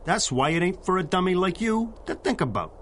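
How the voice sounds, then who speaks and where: A man's voice: sits high, very animated, a little fast, fairly bright tone. Guy doing a cranky 30s crook boss, really up and down, slight noise. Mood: bossy, irritable. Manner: barking, streetwise tough-guy slang. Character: gruff, bossy, short-tempered 1930s gangster foreman. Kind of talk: 1930s-style radio drama acting.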